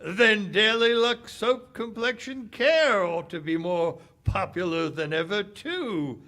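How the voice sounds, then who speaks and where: An actor performing in 1940s radio style, playing men from a vintage broadcast with a hearty, deliberate delivery. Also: male voice, sits high, bright, forceful tone, heavily acted. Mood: earnest selling. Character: folksy, enthusiastic 1940s soap company spokesman; bitter, guarded cafe owner. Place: live stage performance at a public library.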